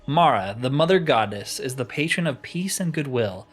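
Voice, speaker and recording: A man's voice: mid-range voice, expressive, normal speed, slightly rough. Man reading as earnest, solemn fantasy knight, music behind. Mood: proud, solemn. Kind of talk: reading fantasy game dialogue.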